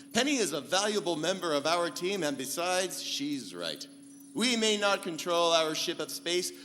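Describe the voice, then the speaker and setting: A man's voice: sits high, sharp tone, exaggerated voice. A man playing an earnest, noble, declamatory space captain in the manner of a 1940s sci-fi radio serial. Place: live convention stage. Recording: echoey hall, light noise.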